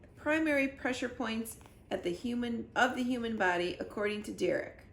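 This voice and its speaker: Creaky-voiced woman reading in an echoey room. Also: fairly high pitch, moderate pace. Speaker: school library storyteller. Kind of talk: expressive children's book read-aloud. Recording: roomy echo with clear background noise.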